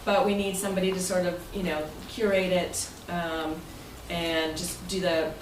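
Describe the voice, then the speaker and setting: A woman giving an informal, chatty and somewhat rambling presentation as president to members at a club meeting. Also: lowish voice. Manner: chatty, rambling, loosely phrased. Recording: roomy, noisy recording from far off.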